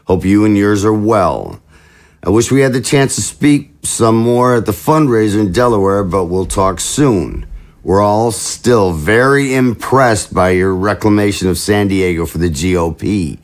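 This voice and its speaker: A man's voice: on the low side, a little slow. A man playing a jovial, scheming political chief of staff in an audio drama, with a glib, jocular, theatrical manner. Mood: grandly pleased.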